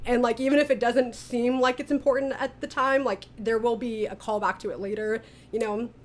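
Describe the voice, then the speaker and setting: A woman's voice: fairly high pitch, steady tone, brisk. A woman hosting a casual interview, chatty and giggly as she talks as a writer. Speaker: writer, director and interview host. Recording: faint background noise.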